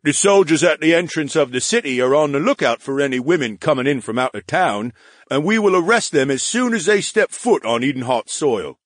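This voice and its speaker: A man doing a dutiful, booming soldier. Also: expressive, brisk, no room sound, clean studio sound. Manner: formal and obedient. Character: scarred mid-thirties soldier, dutiful and deep-voiced.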